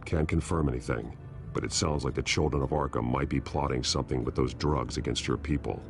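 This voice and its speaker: Casting: grim masked game hero, extremely low growling voice, measured delivery, music behind. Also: male voice, fairly even intonation. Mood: grim, serious. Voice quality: deep gravelly growl. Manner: grave, measured, terse. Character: brooding masked vigilante hero, grim, protective, adult man. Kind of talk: dramatic video game acting. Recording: loud music under the voice.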